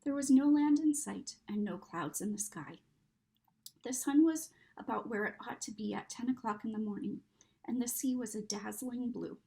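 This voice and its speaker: Higher-voiced woman reading a storybook. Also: moderate pace, creaky, warm tone. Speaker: story reader. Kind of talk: expressive children's storybook narration.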